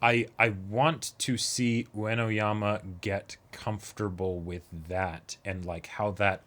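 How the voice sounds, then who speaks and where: A man's voice: lowish voice, flat delivery, slow pace, a bit bright. A man co-hosting a reaction show, discussing an anime episode in a casual, conversational style.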